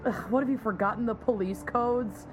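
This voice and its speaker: A woman's voice: a little high, normal speed, close to an everyday voice. A woman playing a sarcastic, bossy dispatcher in an audio drama, snarky and exasperated.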